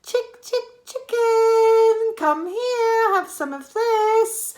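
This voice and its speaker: A woman voicing an excited young boy in a storybook reading. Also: extremely high pitch, very slow, voice pushed up, full character voice. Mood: coaxing. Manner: eager, shouting.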